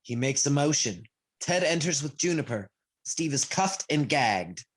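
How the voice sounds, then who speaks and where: A man's voice: a little high, expressive, a little slow, slightly rough. A man reading stage directions as scripted narration for a play. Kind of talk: scripted narration in present tense. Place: remote video call.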